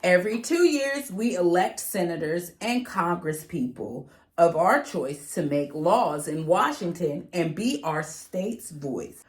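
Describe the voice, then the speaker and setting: A middle-aged American woman, an educator, reading a children's book aloud and explaining it in a deliberate, instructive way. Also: on the low side, animated. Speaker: educator and host. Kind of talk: children's picture book read-aloud with teaching.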